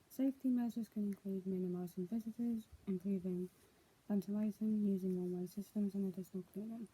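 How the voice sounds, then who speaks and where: A woman's voice: mid-range voice, fairly even intonation, a little fast, dark tone, slightly rough, low-quality recording. A young adult woman, speaking as a vlogger in a casual, homemade style. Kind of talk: casual vlog, reading news aloud and chatting.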